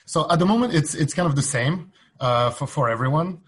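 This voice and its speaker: A man from Belgium speaking as an interview guest, explaining in a casual, halting way. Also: fairly high pitch, animated, fairly bright tone, husky. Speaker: interview guest, startup founder.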